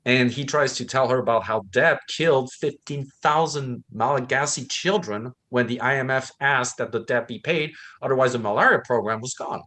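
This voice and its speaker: A man's voice: medium pitch, normal speed, hardly any pauses, bright, forceful tone. A man who grew up in Thailand, co-hosting a book discussion, talking with conviction and acting out the people he quotes. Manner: impassioned, acts out quoted speakers. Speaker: co-host.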